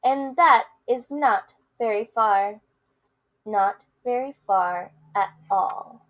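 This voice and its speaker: An earnest, patient elephant friend from a children's storybook , voiced by a woman. The delivery is very slow and plainly reasoning, in a fairly high voice. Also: muffled recording. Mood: earnest correcting. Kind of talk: children's picture book read-aloud.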